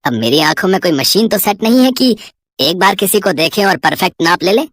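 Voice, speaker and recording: A woman voicing a lecherous, sly male tailor in a cartoon story, smooth-talking, boastful and wheedling. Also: lowish voice, animated, a little fast, dry recording, clean studio sound, voice pushed down. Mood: defensive, wheedling. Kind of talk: exaggerated cartoon story voice acting.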